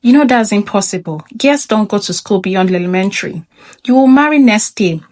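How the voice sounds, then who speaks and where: A woman's voice: medium pitch, brisk, lightly acted. Woman voicing a stern, grave father. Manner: calm, grave. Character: stern, disciplined father, retired army sergeant.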